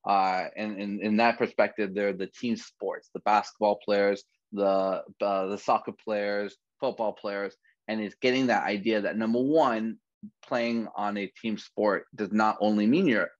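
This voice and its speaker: A man in his thirties from the Bay Area, a physical education teacher presenting over slides in a relaxed way, with many fillers and restarts. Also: fairly low pitch, measured pace, no echo. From San Francisco Bay Area. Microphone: video call. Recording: dry, dull lo-fi video call audio.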